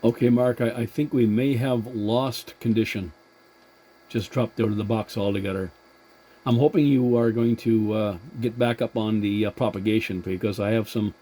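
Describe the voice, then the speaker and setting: A man talks casually as a radio operator. His voice is fairly low, dark and relaxed, and he goes haltingly, often repeating a word before carrying on. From Atlantic Canada.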